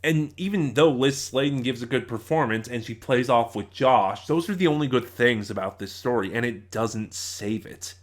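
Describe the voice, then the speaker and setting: Male reviewer, mid-pitched voice, informal and emphatic, halting exasperated delivery. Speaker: audio drama reviewer.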